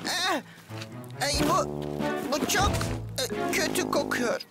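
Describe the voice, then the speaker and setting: A woman's voice: high-pitched, lively intonation, slow pace. A woman voicing a mischievous, whiny young boy in a children's cartoon, grumbling and dragging out complaints. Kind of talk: animated children's cartoon dub. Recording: music behind the voice.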